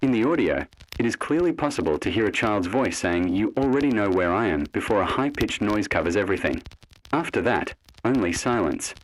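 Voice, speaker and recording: A man reading scripted horror mystery narration in a measured, ominous, dramatic way. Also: lowish voice, expressive, poor audio.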